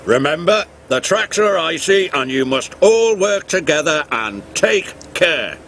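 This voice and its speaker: A man voicing a stern, stout railway boss who booms his orders in a storybook. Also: very animated, unhurried, a bit bright, a little hiss or hum. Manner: booming and commanding. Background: background music.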